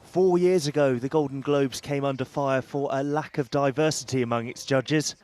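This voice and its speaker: A male reporter reading a scripted entertainment news package in crisp, punchy phrases. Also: mid-range voice, fairly even intonation, moderate pace, a little mellow.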